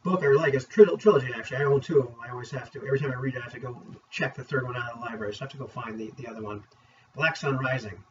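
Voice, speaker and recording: A man talking casually to viewers as a tabletop gaming vlogger, in an informal, rambling, opinionated way, with a husky voice. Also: mid-range voice, rapid-fire, darkish tone, slight background noise. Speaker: vlogger on tabletop roleplaying games. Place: room at home. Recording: dull, lo-fi sound.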